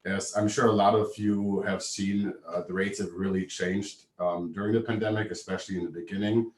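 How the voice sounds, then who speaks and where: A man with a German accent, giving a brisk, matter-of-fact business presentation on a video call. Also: low-pitched, flat delivery. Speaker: webinar presenter. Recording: echoey room, distant mic.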